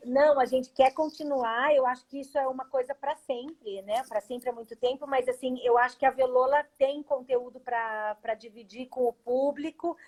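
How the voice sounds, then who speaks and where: A woman's voice: high-pitched, fairly even intonation, moderate pace, few pauses, a little mellow, poor audio. A Brazilian woman, a theatre director, talking casually as an interview guest on a video call. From Brazil, Curitiba.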